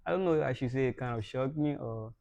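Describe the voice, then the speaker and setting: A man's voice: medium pitch, fast, vocal fry, a little mellow, no room sound. A young man hosting a podcast interview in a casual way. He laughs often and repeats words when he starts a sentence. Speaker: podcast host.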